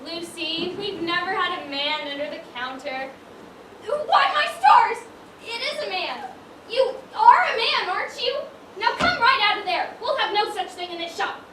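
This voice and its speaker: Woman playing prim widowed shopkeeper, very high voice, old-fashioned, amateur stage play, echoey, noisy recording. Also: expressive, moderate pace, bright, forceful tone, heavily acted. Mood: indignant. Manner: prim, exclaiming, old-fashioned turns of phrase. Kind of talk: amateur stage acting, one-act school play. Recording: roomy stage, distant mic, clear noise.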